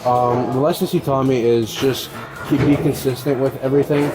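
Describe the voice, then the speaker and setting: A man's voice: medium pitch, steady tone, normal speed, rough recording. Young man with music playing behind. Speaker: bowler being interviewed. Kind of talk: casual interview answers, some reading aloud. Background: music, in a bowling alley.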